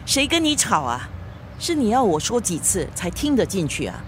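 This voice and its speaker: A woman's voice: mid-range voice, sing-song, normal speed, slight background noise, close to an everyday voice. An actress playing an aggrieved elderly mother with a Singaporean accent, scolding and arguing in a radio drama. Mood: nagging, exasperated. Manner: scolding, reproachful, argumentative. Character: nagging, aggrieved elderly mother, a grandmother, fretting over daughter.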